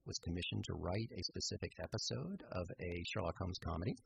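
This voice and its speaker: A man's voice: deep voice, lively intonation, quick pace, gravelly, a bit bright, slight background noise. A man who is a radio theater producer, telling stories conversationally as a guest in an interview. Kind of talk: conversational storytelling.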